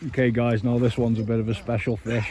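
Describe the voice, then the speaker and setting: A man's voice: medium pitch, little pitch movement, quick pace, darkish tone. A British man presenting an angling video outdoors with casual, matey, informal commentary. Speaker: angler presenting a fishing video. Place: outdoors by a fishing lake.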